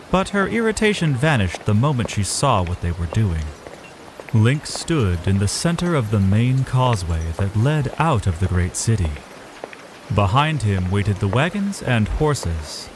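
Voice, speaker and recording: A man giving dramatic scripted narration of a fantasy adventure. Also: fairly low pitch. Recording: noisy ambience behind the voice.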